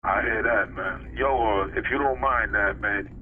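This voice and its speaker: A man's voice: lowish voice, measured pace, slight background noise. A man hosting a casual call-in live stream, chatty and informal with his guests and listeners. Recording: tinny phone-line sound.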